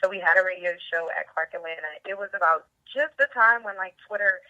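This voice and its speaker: A woman's voice: fairly low pitch, fairly quick, pushed and bright, dull audio. An American woman from New York chatting casually as an interview guest, outgoing, talkative and slangy, over a band-limited line. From Bronx and Harlem, New York, United States. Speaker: interview guest, TV host and film reporter.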